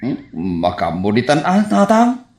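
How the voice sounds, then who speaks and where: A man's voice: fairly high pitch, huge pitch swings, unhurried, reverberant, noisy background, full character voice. A man with an Ilocano accent playing a painfully shy, flustered young bachelor who stammers around women in a radio comedy drama. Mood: mild protest.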